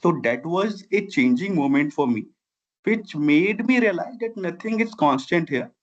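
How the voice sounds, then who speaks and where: A man's voice: on the high side, animated, normal speed, highs cut off. Earnest Indian man with a Bihari accent. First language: Maithili. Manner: earnest, long winding sentences with repeated "I have". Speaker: guest, civil engineer and founder. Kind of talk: interview answers.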